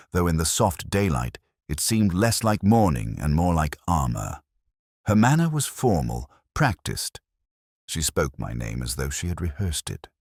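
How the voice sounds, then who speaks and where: A man reading a scripted first-person mystery story in a measured, solemn, literary manner. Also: very deep voice, slightly rough, no echo, studio quality. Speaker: narrator.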